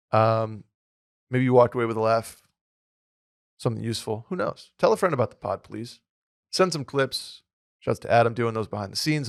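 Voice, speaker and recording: A man co-hosting a podcast in casual chat, with a hoarse, slightly nasal voice and a rambling, upbeat way of talking. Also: mid-range voice, no room sound, studio quality. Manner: rambling, filler-heavy, upbeat. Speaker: podcast co-host.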